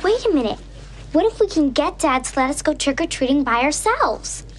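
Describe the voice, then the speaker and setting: Sitcom daughter: very high, lively voice, sassy and scheming, over noisy studio audience. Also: female voice, normal speed, a faint crowd. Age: child. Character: scheming, sassy young twin girls pleading with dad.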